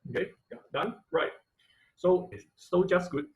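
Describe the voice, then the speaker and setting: A man's voice: high voice, a little slow. A man giving an informal live online technical class as instructor, often repeating words and rephrasing mid-sentence. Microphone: video call microphone. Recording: dull, lo-fi video call audio.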